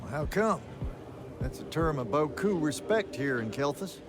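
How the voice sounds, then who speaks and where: An actor playing a world-weary battlemage mentor in a fantasy video game, talking in a wry, folksy, colloquial way. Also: male voice, a little high, expressive, normal speed, lightly acted. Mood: wry, teasing. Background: music.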